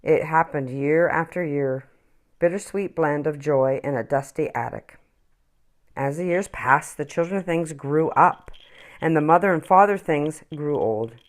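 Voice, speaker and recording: A woman reading a children's picture book aloud as a storyteller, expressively and with dramatic pauses. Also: sits low.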